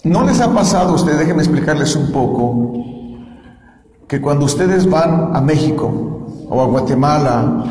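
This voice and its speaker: A man's voice: fairly high pitch, animated, normal speed, mellow. A man preaching a sermon, asking the congregation questions and having them repeat lines after him. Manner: asks the congregation to repeat and answer. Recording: echoey hall with long reverb.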